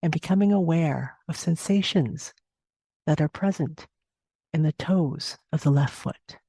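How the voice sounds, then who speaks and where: A woman leads a guided meditation in a dark, relaxed voice with a creaky edge. She gives gentle, unhurried instructions and leaves long pauses between phrases. The recording is close and dry, with no room sound. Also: low-pitched, dry recording. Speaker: meditation teacher. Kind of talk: guided body scan meditation narration.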